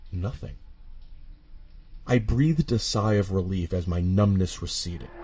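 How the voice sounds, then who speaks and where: A man's voice: fairly low pitch, husky. A man narrating a horror story in the first person, with a dramatic, suspenseful delivery. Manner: measured, suspenseful delivery with hushed drops and intense swells.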